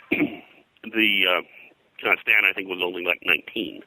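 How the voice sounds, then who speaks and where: An older man talking in a rambling interview style, halting and digressive as a guest historian. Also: low-pitched, sharp tone, no room sound, low-quality recording. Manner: halting, digressive, frequent "you know". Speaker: guest, pop culture historian.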